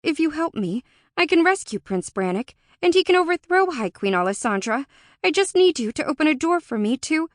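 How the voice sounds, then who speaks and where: A woman's voice: high-pitched, big pitch swings, brisk, dry recording, pitched above the performer's own voice. A woman narrator plays a young storybook heroine with a raised, urgent voice. She is anxious yet determined, speaking with earnest emphasis. The recording is close and dry. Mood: pleading. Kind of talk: audiobook character acting.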